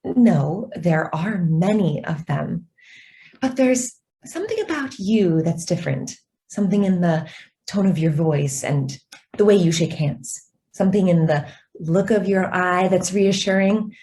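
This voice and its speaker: Devoted young secretary in a radio play, acted by a woman; soft-edged mellow tone, earnest, idealistic, lingering on key words. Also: fairly low pitch, animated, measured pace, a little hiss or hum. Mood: admiring, fervent. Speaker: actor. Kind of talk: radio drama acting.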